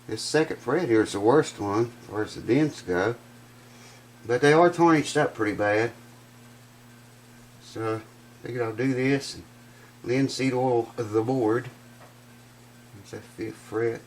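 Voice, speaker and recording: A man's voice: medium pitch, slight background noise. A man hosting a guitar repair vlog in his workshop, talking in a folksy, enthusiastic way and sounding congested with a stuffed-up nose. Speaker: guitar repair channel host.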